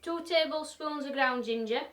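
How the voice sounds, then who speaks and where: A woman's voice: high-pitched, normal speed, a bit bright. A woman with a broad Yorkshire accent chatting her way through a live demo as host, in a workshop. Her manner is friendly and self-deprecating. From Yorkshire, England. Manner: chatty, self-deprecating, talks through what she is doing. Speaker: woodturner hosting a live demo. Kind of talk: chatty live-stream demonstration. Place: home workshop. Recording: echoey workshop, voice from a distance.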